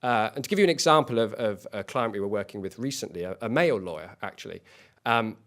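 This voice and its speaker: A man with a fairly low voice delivers a business pitch to a panel. He speaks earnestly and often stumbles into restarts and repeated words as he explains his company's work. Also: expressive, fast talker, fairly bright tone. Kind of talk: competition pitch. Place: event hall.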